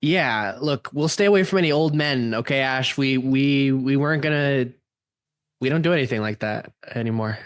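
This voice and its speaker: A man playing a defensive, nervous young stoner with halting dude-speak in an amateur radio play. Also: mid-range voice, close to an everyday voice.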